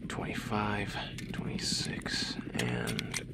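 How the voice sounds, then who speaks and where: An obsessive commando gun nut in a radio drama. He speaks low and slowly, with a creaky edge, over very loud noise. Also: sing-song, heavily acted. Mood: absorbed. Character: obsessive male special forces gun geek.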